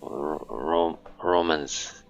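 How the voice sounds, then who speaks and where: A man's voice: a bass voice, drawn-out words, creaky, highs cut off, faint music behind. A man from Morocco, speaking English as a guest in a casual chat and searching for words. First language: Amazigh.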